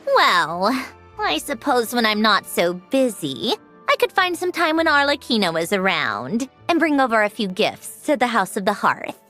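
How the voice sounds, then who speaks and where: Bright, swooping haughty young lady. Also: fairly high pitch, sing-song, a little slow, faint music behind, exaggerated voice. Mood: flustered, guarded. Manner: haughty, easily flustered. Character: proud, flustered young lady inventor, secretly soft-hearted. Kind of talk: video game voice acting.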